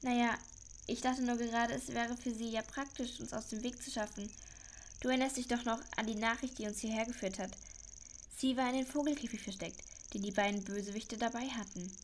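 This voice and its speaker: A woman's voice: fairly high pitch, steady tone, fairly quick, a little hiss or hum, close to an everyday voice. A woman playing an eager, talkative child detective in a children's audio drama, reasoning aloud with emphasis. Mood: suspicious.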